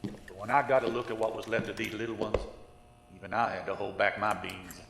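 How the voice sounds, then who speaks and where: Gruff small-town lawman played by a man, audio drama. Sarcastic, lowish voice, heard in long reverb over noise. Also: level tone, a little fast. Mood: grim. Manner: sardonic, folksy, mocking. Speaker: actor in an audio horror drama.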